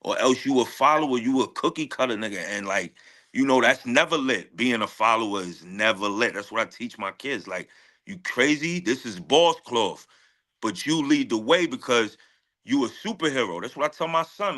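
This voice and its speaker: Bright-voiced New man, confident rapper. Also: mid-range voice. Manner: confident, emphatic, repeats phrases. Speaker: guest rapper. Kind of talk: casual interview talk with heavy slang.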